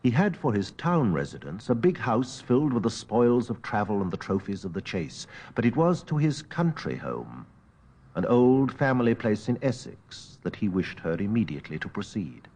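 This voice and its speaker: An actor playing an educated Victorian gentleman, recounting a haunting memory in a measured, theatrical audiobook narration with weighty pauses. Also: lowish voice, sing-song, slightly rough. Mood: measured storytelling. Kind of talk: dramatic literary audiobook narration.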